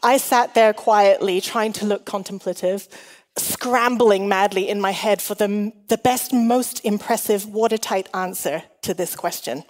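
Woman, middle-aged, mixed international accent, conversational sermon, chatty, self-deprecating, long hall reverb, mid-range pitch. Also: a little slow, darkish tone. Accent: mixed international, shifting. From Hong Kong. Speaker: guest preacher.

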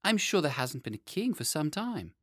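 A man's voice: on the high side, big pitch swings, normal speed, dry recording, clean studio sound, pitched above the performer's own voice. A man voicing a polite, fidgety, innocent little boy in a storybook tale. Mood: puzzled, curious.

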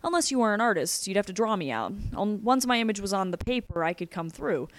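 A woman's voice: mid-range voice, sing-song, fast, dry recording, natural voice. A woman voicing a sly, menacing male assassin prisoner with a taunting manner, in a story reading. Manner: taunting, sardonic.

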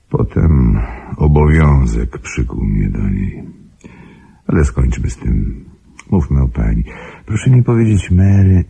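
An actor playing a brooding, controlling older gentleman in a radio play, with a gravelly, husky voice and a measured, weighty delivery. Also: extremely low pitch, full character voice. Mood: grave, bitter, then probing. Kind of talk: Polish radio play acting.

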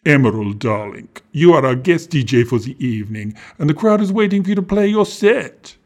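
A man's voice: on the high side, expressive, brisk, darkish tone. A man voicing a creepy, glamorous woman who collects dolls, speaking with theatrical, sweetly menacing charm and calling people darling. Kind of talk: children's audio story acting.

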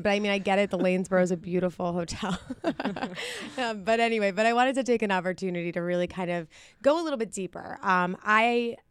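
A woman hosts a travel podcast in a chatty, easygoing way, often filling pauses with ums and you-knows. Her voice is smooth and clear. The sound is dry and close, with no room echo. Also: medium pitch. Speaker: podcast host. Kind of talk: casual podcast chat. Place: office studio.

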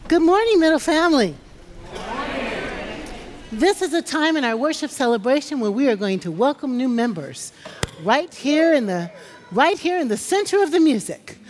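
A woman's voice: high-pitched, big pitch swings, moderate pace. A woman pastor leading a church service and welcoming new members with exuberant, whooping encouragement. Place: large church sanctuary. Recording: church hall reverb with congregation noise.

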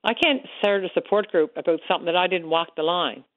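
A woman with a Newfoundland accent calling in to a radio show, loud-voiced and frank, talking on and on. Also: lowish voice, quick pace, dry recording. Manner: frank, talkative storyteller. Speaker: caller, support group organizer. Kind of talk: radio call-in chat. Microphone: telephone. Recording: tinny phone line.

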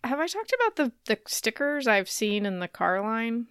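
A woman's voice: a little high, big pitch swings, normal speed, a bit bright, clear, resonant tone, no room sound, clean studio sound. A woman in her thirties chatting casually and telling stories with wry humor as a podcast co-host. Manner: wry, laughs often.

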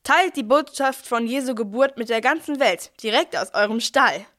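A woman playing a brisk, managing archangel who narrates a nativity audio play. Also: fairly high pitch, lightly acted. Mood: salesy, upbeat.

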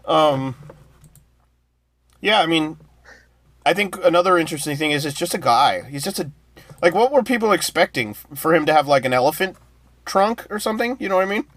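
A man's voice: fairly high pitch, lively intonation, brisk, pauses often, fairly bright tone, no echo. Man chatting casually, dry close sound. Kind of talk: casual chat.

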